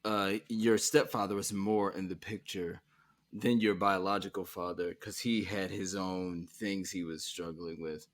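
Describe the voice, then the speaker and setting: Podcast host guy, lowish voice, laid back, asks questions that wander a bit. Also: steady tone, measured pace. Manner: easygoing, asks long rambling questions. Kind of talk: casual interview.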